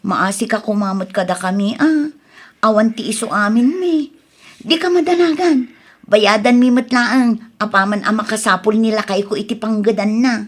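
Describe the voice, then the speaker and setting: A woman's voice: mid-range voice, moderate pace, natural voice. A woman acting a nagging, pleading village wife and mother short of money in an Ilocano comedy radio drama, with an Ilocano accent.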